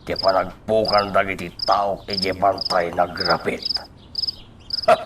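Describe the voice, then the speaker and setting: A man's voice: deep voice, level tone, a little slow, very noisy. A man playing a menacing crime boss in a radio drama, stern and commanding, with a Filipino accent. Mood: angry, brooding. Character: menacing male crime boss running illegal rackets.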